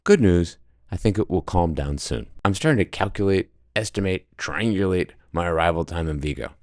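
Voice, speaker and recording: A man narrating his solo sailing trip in a dry, deadpan voice-over with wry humor. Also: low voice, normal speed, no room sound. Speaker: solo sailor vlogger.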